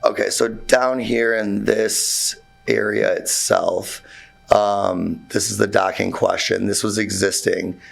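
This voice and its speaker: A man with a hoarse, worn-out voice presenting his building requests to a township board, talking in a halting way with many fillers and restarts. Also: fairly low pitch, steady tone. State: hoarse, voice nearly gone. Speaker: contractor presenting to a zoning board. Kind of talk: informal presentation at a public meeting. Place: township meeting room.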